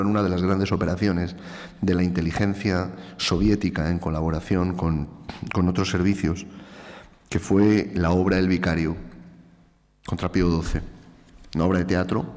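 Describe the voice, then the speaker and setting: Spanish guy lecturing, emphatic, repeats lines for effect, deep voice, echoey hall. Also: a little mellow. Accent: Spanish from Spain. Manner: earnest, emphatic, repeats phrases for stress. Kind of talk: public lecture. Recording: reverberant hall.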